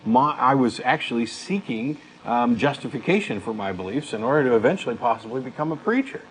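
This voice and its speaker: Blunt man with a mid-pitched voice. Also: expressive, brisk, slight background noise, poor audio. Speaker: co-host of a talk show. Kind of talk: casual unscripted discussion.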